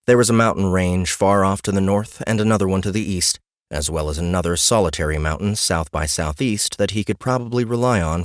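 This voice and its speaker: A man's voice: low voice, dry recording, studio quality. A man reading a scripted fantasy story as an audiobook narrator.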